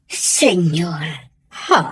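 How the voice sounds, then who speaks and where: A woman's voice: lowish voice, big pitch swings, drawn-out words, fairly bright tone, slight background noise, heavily acted. A woman with an Ilocano accent playing a suspicious, domineering wife and household boss in a radio drama. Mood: indignant.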